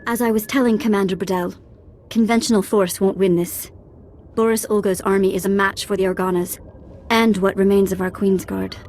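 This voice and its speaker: An actress playing a proud, vengeful princess in a video game, formal and forceful. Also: female voice, mid-range voice, little pitch movement, a little fast, a little hiss or hum, lightly acted. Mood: determined.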